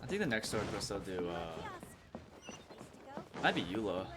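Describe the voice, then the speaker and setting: A man's voice: deep voice, big pitch swings, a little slow, heavy background noise. A man doing casual live gameplay commentary, informally musing aloud as he plays. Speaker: game streamer. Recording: loud game music behind the voice.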